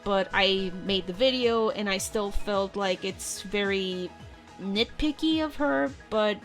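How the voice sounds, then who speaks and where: A woman chatting casually as a podcast guest, a video editor who talks in long, animated, rambling stretches. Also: medium pitch, slow, faint music behind.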